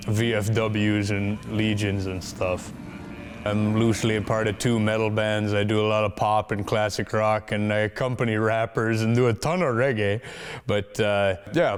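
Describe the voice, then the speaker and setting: Male performer from Minnesota, joking, self-deprecating, mid-range voice. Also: medium pitch. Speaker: stuntman, comedian and musician. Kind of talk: casual interview and stage banter.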